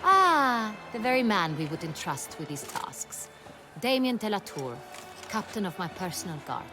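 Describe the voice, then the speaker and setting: An actress playing a proud young duchess in a video game, speaking formally and imperiously. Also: female voice, medium pitch, big pitch swings, moderate pace, over music, natural voice. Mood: flustered, indignant. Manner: haughty, formal, speaks in the royal we. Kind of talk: video game cutscene acting.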